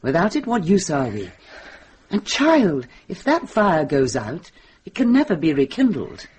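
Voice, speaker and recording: An older British nun in a medieval radio drama, worldly-wise and compassionate. Her speech is measured and earnest, with a very lively rise and fall, firmly but kindly admonishing. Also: on the low side, a little hiss or hum.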